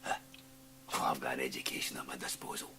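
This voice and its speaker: A man's voice: a bass voice, huge pitch swings, fast, pushed and bright, full character voice. An actor playing a sly, menacing, gleeful Scottish man in a radio drama, taunting and insinuating, breaking into hissing whispers. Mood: scornful, boastful. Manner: taunting, insinuating, swinging from cajoling to snarling.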